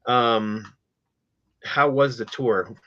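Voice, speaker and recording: Male podcast host, middle-aged, mid pitch with a sharp bright edge, plainspoken opinions, lo-fi audio. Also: very slow. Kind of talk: casual music chat.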